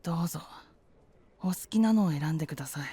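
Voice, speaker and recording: Dark, husky, defeated stall vendor. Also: female voice, low-pitched, fast. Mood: bleak, resigned. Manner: polite, bleak, resigned. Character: gloomy, defeated sweets stall vendor who has given up hope. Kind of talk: Japanese voice drama acting.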